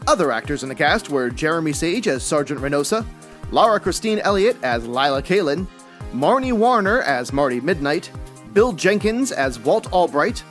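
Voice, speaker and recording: A man's voice: on the high side, sing-song, normal speed, faint music behind. A man working as a credits announcer, reading a scripted announcement.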